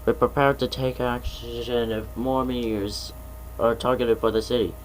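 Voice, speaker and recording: A man's voice: mid-range voice, little pitch movement, unhurried, a little hiss or hum, lightly acted, poor audio. A man playing an official emergency alert announcer, reading scripted warnings in a formal, serious, instructional way. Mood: serious, urgent.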